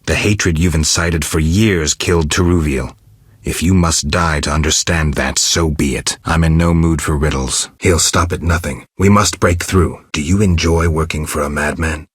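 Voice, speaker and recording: A man playing a stoic, weary monster hunter in a video game, terse and deadpan, with a raspy voice. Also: moderate pace, no echo, clean studio sound. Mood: stern, accusing. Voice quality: raspy, gravelly low voice. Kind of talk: video game character dialogue.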